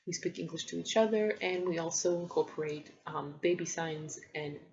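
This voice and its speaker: A woman in her thirties, a native Polish speaker, talks to camera in a lowish voice with a gravelly edge. She speaks thoughtfully, with frequent ums and restarts, on a dull lo-fi home recording. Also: moderate pace, a little mellow, slight background noise. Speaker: parenting vlogger. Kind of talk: casual vlog talk to camera.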